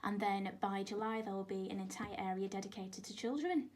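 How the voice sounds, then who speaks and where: A woman yoga teacher and life coach from England, speaking earnestly as a radio guest with hesitant restarts and soft asides. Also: medium pitch, fairly quick, a little hiss or hum.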